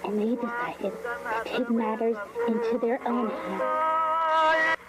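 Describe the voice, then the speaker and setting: A woman voicing an eager, adventurous child in an animated horror cartoon, telling spooky tales. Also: fairly high pitch, slow, over loud music. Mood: spooky storytelling. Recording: loud ambient noise, radio-like hiss.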